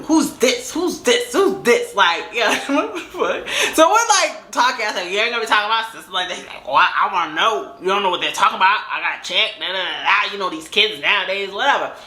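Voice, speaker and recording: A young woman with a fairly deep voice telling a casual story in a lively, laughing way. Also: fast, hardly any pauses. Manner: animated, laughing, acts out quoted voices. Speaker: storytime vlogger. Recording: echoey room.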